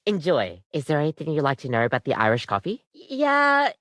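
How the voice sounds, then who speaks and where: A woman voicing a friendly, earnest young coffee maker come to life as a barista in a video game, with a chatty, casual, expressive delivery. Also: low-pitched, fairly quick, no echo, studio quality, heavily acted. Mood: chatty, helpful.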